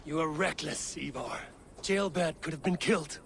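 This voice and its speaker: A man's voice: a little high, moderate pace, vocal fry, a little hiss or hum. A male actor voicing a level-headed Viking raider in a video game, terse and commanding. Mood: stern. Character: stern, level-headed Viking raider, a seasoned warrior man. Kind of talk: dramatic video game acting.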